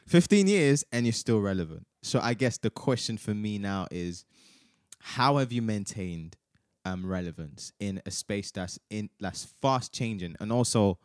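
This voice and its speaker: Podcast host, young man from Nigeria, faint Nigerian accent, lowish, dry close sound, laughs and teases. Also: dry recording. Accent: light Nigerian. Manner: laughing, teasing, says bro a lot. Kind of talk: casual chat.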